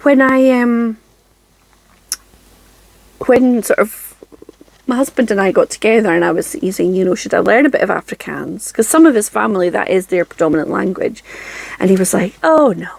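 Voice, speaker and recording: A Scottish woman in her forties, chatting casually to camera from her home craft room in a chatty, self-deprecating way with frequent ums and soft laughs. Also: medium pitch, animated, moderate pace, gravelly, long pauses, poor audio. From Scotland. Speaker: craft channel host.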